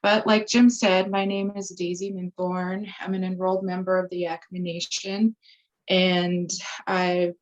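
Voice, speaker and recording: Low, flat-voiced woman with a cold. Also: measured pace. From Umatilla Indian Reservation, Oregon. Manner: casual, many filler ums. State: recovering from a cold. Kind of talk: informal presentation in a town hall. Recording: muffled lo-fi audio.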